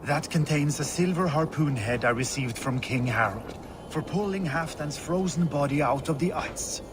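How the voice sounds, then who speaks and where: An actor playing an elderly, weary and proud Norse warrior who is ready to die, in a video game. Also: male voice, on the high side, normal speed, background noise, close to an everyday voice. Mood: proud reminiscing. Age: older. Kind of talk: video game dialogue acting.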